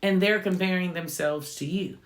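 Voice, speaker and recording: A woman of about fifty with a low voice she calls not feminine, hosting a reflective vlog and talking conversationally, often tagging lines with "right?". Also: normal speed. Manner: conversational, often adding "right?" tag questions. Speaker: channel host. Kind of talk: reflective motivational vlog talk.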